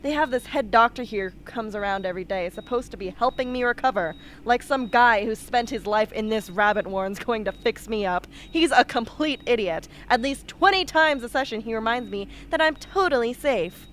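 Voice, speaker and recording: A woman's voice: a little high, animated, normal speed, hardly any pauses, fairly bright tone. A woman playing a blunt, sardonic, tough young patient in an amateur radio drama, with a mocking delivery. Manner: sarcastic, mocking. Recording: noisy amateur radio play recording.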